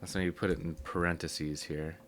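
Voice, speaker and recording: A man who is sick, his voice low and rough, teaching a casual music theory lesson and thinking aloud with frequent ums. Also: flat delivery, normal speed, slight background noise.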